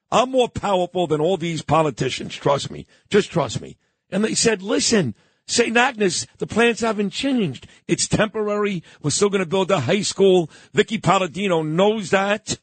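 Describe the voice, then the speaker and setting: A man's voice: high-pitched, husky, no echo. A man hosting a morning radio show, talking in a rambling way with boastful asides. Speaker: radio host. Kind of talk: casual opinionated talk radio.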